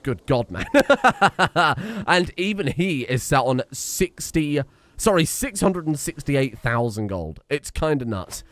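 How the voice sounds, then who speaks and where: A young man giving casual, excitable commentary on a strategy game, often exclaiming and restarting his sentences. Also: on the high side, takes it slowly, no room sound. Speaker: gaming streamer. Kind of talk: casual let's-play commentary.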